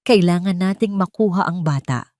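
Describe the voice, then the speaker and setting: A woman voicing a scheming older wealthy matriarch in an audio drama, calm yet menacing and determined. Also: lowish voice, moderate pace, no room sound, clean studio sound, natural voice.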